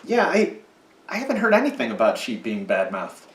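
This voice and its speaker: A man leads a children's sermon, his voice rising and falling in a very lively, sing-song way as he patiently explains things to kids. He sounds set back from the mic, and the church room adds a strong echo. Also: mid-range voice, fairly quick, distant mic. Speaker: children's message leader. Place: church sanctuary.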